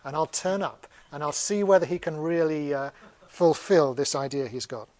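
Man voicing a musing sceptical king god, lighter pitch. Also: moderate pace, a little mellow, lightly acted. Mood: sceptical, scheming. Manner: musing to himself. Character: male king god, dreamy, cynical, puzzled, scheming. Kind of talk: oral storytelling character voice.

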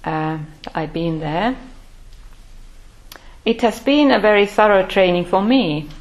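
Older woman telling her life story slow and thoughtful, lots of pauses. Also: mid-range voice, expressive. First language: Finnish. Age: 60s. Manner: measured, thoughtful, pausing often. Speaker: Buddhist order member. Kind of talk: reflective personal life-story talk.

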